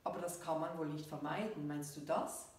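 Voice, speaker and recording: A woman voicing a brisk, matter-of-fact teenage best friend in a story reading. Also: low voice, a little mellow, natural voice. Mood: puzzled, sceptical. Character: Indra, loyal, sceptical teenage best friend. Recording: very echoey room, distant microphone.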